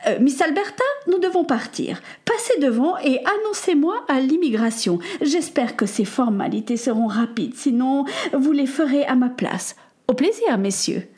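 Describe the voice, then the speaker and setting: A woman's voice: high voice, lively intonation, normal speed, darkish tone, voice pushed up. A woman voicing an elegant wealthy lady who speaks graciously and a little haughtily.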